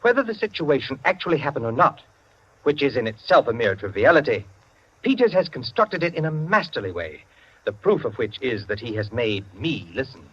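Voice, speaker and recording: A man's voice: mid-range voice, sing-song, a little fast, husky. An actor playing a pompous, condescending clubman storyteller in a 1940s radio drama, heard on a dull old broadcast. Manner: lecturing, condescending.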